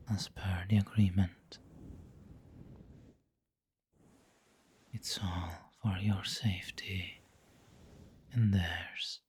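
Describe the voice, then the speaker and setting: A man playing a refined, doting and possessive vampire lord in an intimate ASMR roleplay, with a breathy whisper and formal, tender phrasing. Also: deep voice, darkish tone, a little hiss or hum. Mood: doting, reassuring. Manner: slow, formal, tender, indulgent.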